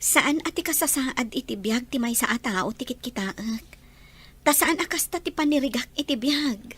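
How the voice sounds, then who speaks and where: An actress playing a gentle, serious young female student being courted in a Philippine radio drama. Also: high-pitched, animated, moderate pace, slightly rough, full character voice. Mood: reassuring, sincere.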